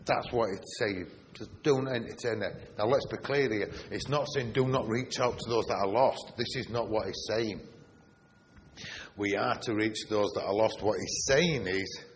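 A Yorkshire man preaching in a church, his fairly low voice carrying a long reverb through the hall. He leans hard on single words and tosses rhetorical questions to the congregation. A faint hiss sits under it all. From Yorkshire, England. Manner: emphatic, drawn-out stresses with rhetorical questions. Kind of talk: sermon.

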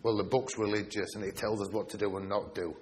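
A man's voice: on the low side, fairly even intonation, quick pace. A man with a Yorkshire accent preaching a sermon, stressing key words and asking the congregation rhetorical questions. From Yorkshire, England. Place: church hall. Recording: reverberant hall with faint noise.

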